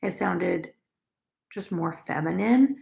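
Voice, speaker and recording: A young woman with a naturally raspy voice, chatting casually with viewers on a crochet livestream and rambling with lots of fillers. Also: fairly low pitch, slow pace, darkish tone. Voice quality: raspy, gravelly. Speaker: crochet vlogger. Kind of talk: casual livestream chat, reading comments.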